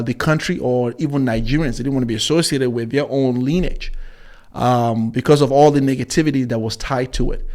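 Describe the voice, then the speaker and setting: A man giving unscripted opinion commentary as a host, haltingly, repeating words and often checking in with "right?". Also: medium pitch, darkish tone.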